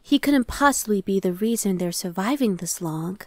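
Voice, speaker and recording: A woman's voice: mid-range voice, animated, normal speed, dry recording, natural voice. A woman voicing an impatient, sardonic female demon scout in an ASMR roleplay, talking in a conversational, dismissive way. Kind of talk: ASMR roleplay, one-sided dialogue.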